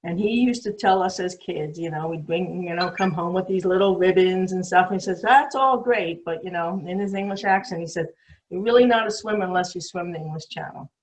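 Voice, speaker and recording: A woman's voice: on the low side, fairly quick, slight background noise, poor audio. An older woman from New York City with a New York accent, telling her story as a guest on a call, with many ums and restarts. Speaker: guest swimmer. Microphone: video call.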